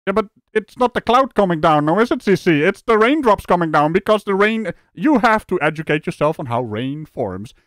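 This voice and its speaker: A man from the Netherlands hosting a live stream, reacting to a clip with animated, mocking commentary and frequent laughs. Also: sits high, brisk, few pauses, no echo, clean studio sound. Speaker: streamer host.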